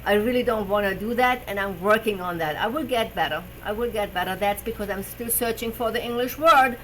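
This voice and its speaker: A woman's voice: mid-range voice, brisk, a bit bright, a little hiss or hum, low-quality recording. A woman with a German accent, hosting a live stream as a personal trainer, chatting about health with frequent fillers while searching for English words. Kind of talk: casual live stream talk.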